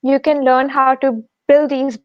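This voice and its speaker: Female host, high voice, friendly and unhurried; dry, dull call audio. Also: little pitch movement, no room sound. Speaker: host of an online tech session. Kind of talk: live-stream introduction.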